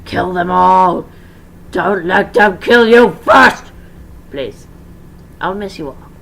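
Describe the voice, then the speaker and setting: A performer playing a distraught, weary male pizzeria boss, halting and emotional, in an amateur story reading. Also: medium pitch, creaky, fairly bright tone, pitched above the performer's own voice, exaggerated voice. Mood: desperate, shouting.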